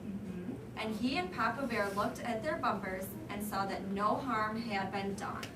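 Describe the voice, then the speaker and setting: A woman's voice: medium pitch, unhurried. A woman teacher reading a storybook to young children, prompting them with questions and echoing their answers. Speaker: first grade teacher reading aloud. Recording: echoey room, distant mic, background noise.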